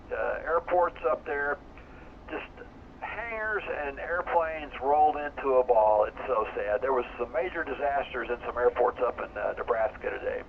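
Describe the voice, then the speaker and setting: A man in his seventies, a ham radio operator chatting on a net in an earnest, halting way. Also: mid-range voice, vocal fry. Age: 70s. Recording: tinny two-way radio with faint hiss.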